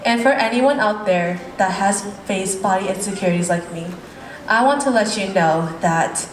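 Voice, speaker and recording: Earnest young woman in distant echoey hall. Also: mid-range voice. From United States. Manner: earnest, heartfelt delivery. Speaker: pageant contestant. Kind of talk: prepared pageant speech. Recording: echoey hall from far off, faint hiss.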